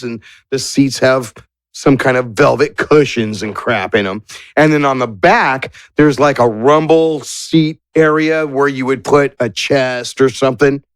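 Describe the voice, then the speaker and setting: Game master: man, medium pitch, conversational and descriptive, improvised scene-setting, very dry sound. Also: clean tone, no echo. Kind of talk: casual improvised tabletop game narration.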